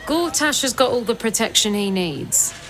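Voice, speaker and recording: An actress playing a stubborn, mocking city gate guard in a fantasy game, blunt and dismissive. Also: female voice, fairly high pitch, expressive, moderate pace, fairly bright tone, some chatter behind. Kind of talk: video game character acting.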